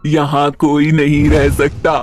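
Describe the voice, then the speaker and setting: A woman's voice: deep voice, completely flat delivery, over music. A woman voicing a scary old crone in a horror cartoon, grimly and ominously warning people away. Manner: slow, ominous. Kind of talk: Hindi horror cartoon dubbing.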